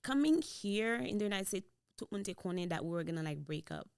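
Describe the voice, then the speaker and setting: A young woman from Haiti with a Haitian Creole accent, a podcast guest telling her story in relaxed chat and slipping into Creole, on a studio boom mic. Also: fairly quick, clean tone, dry recording. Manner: switches into Creole mid-sentence, relaxed storytelling. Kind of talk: casual interview chat. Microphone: boom-mounted studio mic.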